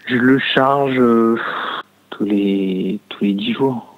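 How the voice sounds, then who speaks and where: A man giving casual feedback as a customer, halting often to search for words. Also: medium pitch. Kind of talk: casual spoken phone feedback. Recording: tinny, narrow phone line.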